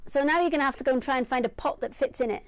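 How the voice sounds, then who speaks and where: A woman's voice: high voice, very fast, noisy background. A woman hosting a live sewing stream, chatting casually and laughing often. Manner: chatty, laughs often, warm-hearted teasing.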